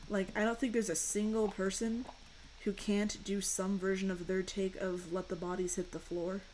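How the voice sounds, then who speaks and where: Young female streamer, deep voice, rambly chat over gameplay, faint hiss behind. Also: little pitch movement, moderate pace, a little mellow, low-quality recording. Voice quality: fairly deep voice. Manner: chatty, rambling, answers chat questions. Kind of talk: casual chat while gaming.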